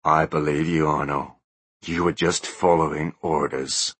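A man voicing a hulking older bodyguard who plays a ghost, speaking low, hushed and very slow. The tone is breathy and menacing. It sounds like a close, dry storybook reading. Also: level tone, dry recording, exaggerated voice. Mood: stern. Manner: slow, quiet, ominous.

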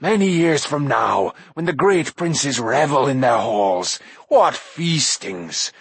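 A grovelling, pitiful half-beast creature, put on by a male narrator whines and wheedles for food. The voice is slightly husky and close, with no room sound. It is a storybook creature with a sly, self-pitying streak. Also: mid-range voice, big pitch swings, slow, no room sound, full character voice. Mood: wheedling.

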